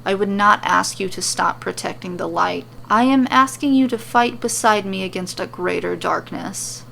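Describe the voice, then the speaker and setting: A woman plays a grave fantasy warrior, a man of calm resolve. The voice is measured and serious, with a creaky edge and some noise behind it. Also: medium pitch.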